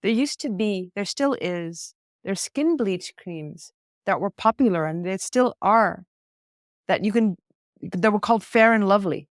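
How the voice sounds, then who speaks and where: A woman's voice: medium pitch, animated, a little slow, no echo, clean studio sound. A woman who grew up in India, a podcast guest talking in a reflective, emphatic way and stacking ideas into lists, recorded at a hostel. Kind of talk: conversational interview. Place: hostel room.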